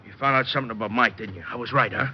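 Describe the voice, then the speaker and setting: An actor playing a big, slow-witted, good-natured wrestler with a simple, hesitant way of talking, in old-time radio drama style. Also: male voice, medium pitch, lightly acted. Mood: friendly, puzzled. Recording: dull old radio recording, light noise.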